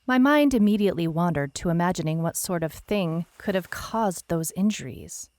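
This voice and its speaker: An actress plays a world-weary female private eye with a low voice. She narrates in a scripted noir audio drama style, dry and deadpan, with wry understatement throughout. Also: moderate pace, dry recording, natural voice. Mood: dry, matter-of-fact. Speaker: narrator, lead actor.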